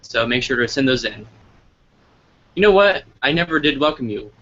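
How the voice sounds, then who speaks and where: A man's voice: mid-range voice, expressive, moderate pace, fairly bright tone, muffled recording. A man hosting a casual live interview over an online call, informal and thinking aloud as he goes. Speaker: interviewer and host. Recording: noisy, band-limited online call audio.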